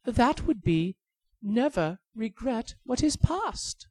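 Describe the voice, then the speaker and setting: A woman voicing a small, clever golden bird in a told folktale, speaking in a shrill, taunting way. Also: big pitch swings, slow pace, a little mellow, no echo, exaggerated voice. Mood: pleading.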